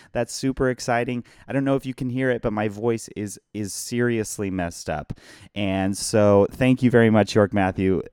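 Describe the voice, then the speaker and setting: A hoarse, tired man hosting a casual live-streamed podcast from his phone, rambling and chatty as he thanks viewers by name. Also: on the low side, a little mellow, dry recording, studio quality. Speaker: podcast host.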